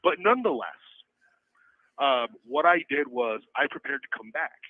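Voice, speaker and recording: A man's voice: medium pitch, big pitch swings, normal speed, no room sound. A man in his forties talking casually from a jail phone, telling his story in emphatic, profane slang. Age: 40s. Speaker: inmate phoning in to a stream. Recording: tinny, band-limited jail phone line.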